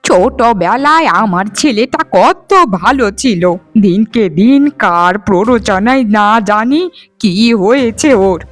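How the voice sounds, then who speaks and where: A woman's voice: on the high side, expressive, measured pace, faint music behind. A woman voicing a greedy, self-pitying older village mother-in-law who laments in cartoon melodrama style. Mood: lamenting, regretful. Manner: lamenting, repetitive wailing.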